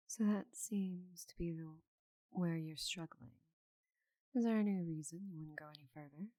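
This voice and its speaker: A woman voicing a giant female orc knight, teasing, confident and tenderly flustered, in a soft, intimate ASMR roleplay with breathy murmurs. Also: on the low side, normal speed, no echo, close to an everyday voice. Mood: encouraging, confident.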